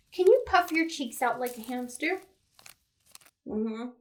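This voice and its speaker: High-voiced woman reading slowly to toddlers. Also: a little mellow. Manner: simple child-directed delivery with playful animal sounds. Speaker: children's librarian leading storytime. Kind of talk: toddler storytime read-aloud with questions and rhymes.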